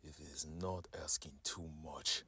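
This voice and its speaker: A man voicing a cold, formal crime boss in a comic dub, with breathy, whispery, deliberate contempt. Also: rumbling low, fairly even intonation, slight background noise, full character voice. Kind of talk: comic dub character acting. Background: loud dramatic background music.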